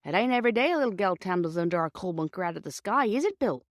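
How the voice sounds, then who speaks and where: A woman's voice: fairly low pitch, expressive, very fast, no room sound, studio quality, lightly acted. Woman doing a blunt, kindly working-class railwayman. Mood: curious, coaxing. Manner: colloquial, blunt questioning.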